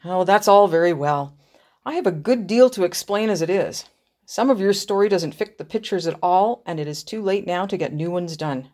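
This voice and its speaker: An actress playing a practical, sceptical editor with a dry, pointed and slightly exasperated manner. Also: female voice, lowish voice, normal speed, a little mellow, natural voice. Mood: exasperated, resigned. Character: sceptical, practical female editor critiquing a story.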